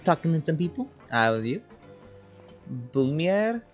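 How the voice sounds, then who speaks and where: Low-voiced, animated woman gamer. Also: unhurried, faint music behind. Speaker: game streamer reacting. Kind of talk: casual live playthrough commentary.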